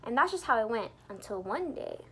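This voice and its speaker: A woman reading a picture book aloud to young children in an expressive, teacherly way, pausing to explain things and put questions to the listeners. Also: a little high, a little hiss or hum, poor audio. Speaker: children's storybook reader and teacher. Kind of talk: animated read-aloud for young children with asides explaining words.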